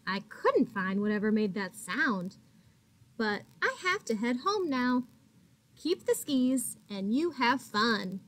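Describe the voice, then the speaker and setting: High-voiced friendly storybook boy read by a woman. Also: big pitch swings, slow. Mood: earnest, friendly.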